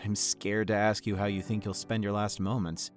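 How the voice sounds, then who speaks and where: A man's voice: lowish voice, flat delivery, normal speed, faint music behind, natural voice. An actor playing an earnest, lovestruck young man in an audio drama, a little hesitant and awkward. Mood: earnest, defensive. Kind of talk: naturalistic audio drama acting.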